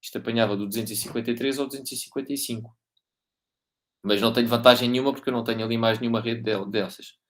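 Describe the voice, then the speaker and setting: Male lecturer, European Portuguese accent, mid-range voice, informal technical teaching with restarts and frequent checks for understanding. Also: mid-range voice. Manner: halting, repeats and rephrases, checks understanding often. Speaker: university lecturer.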